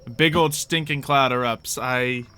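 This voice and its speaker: A man's voice: medium pitch, steady tone, moderate pace, sharp tone, clear, resonant tone. A man acting as game master, narrating a tabletop roleplaying game in a casual, informal, jokey way.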